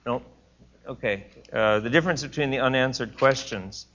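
A man's voice: mid-range voice, moderate pace, a bit bright, lo-fi sound, a little hiss or hum. A man leading a class discussion, explaining earnestly and fielding questions from students, thinking aloud with restarts.

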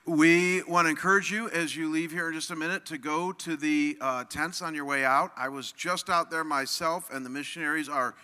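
Man, church announcements, informal, very bright tone, slightly high, reverberant hall. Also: steady tone, normal speed, hardly any pauses, clean tone. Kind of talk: closing announcements. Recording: long reverb of a large hall.

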